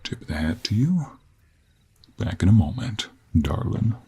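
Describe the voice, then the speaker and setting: A man voicing a confident, sly cowboy monster hunter with a gravelly voice and an American Western accent in a fantasy audio roleplay. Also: lowish voice, sing-song, a little slow, dark tone, pitched above the performer's own voice, full character voice. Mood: firm, teasing.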